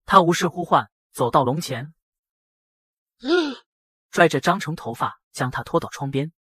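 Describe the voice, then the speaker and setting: A man voicing a brash young factory boss who narrates his own dramatic motion-comic story in a husky voice. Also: fairly high pitch, a little slow, fairly bright tone, dry recording, clean studio sound. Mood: tense narrating. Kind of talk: dramatic first-person scripted narration.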